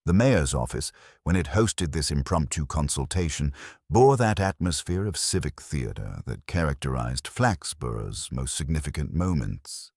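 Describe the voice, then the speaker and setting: A man narrating a scripted mystery story in a formal, measured way, like an audiobook. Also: a bass voice, very animated, vocal fry, darkish tone, breathy edge, no echo, studio quality.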